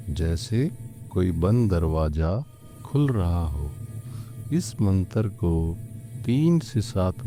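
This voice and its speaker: A man leading a scripted guided meditation in a calm, soothing, gently instructing way, leaving long pauses. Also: sits low. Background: background music.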